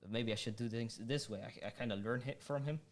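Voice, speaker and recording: A young man hosting a student podcast, speaking hesitantly with many pauses and giggles. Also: medium pitch. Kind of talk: casual interview chat.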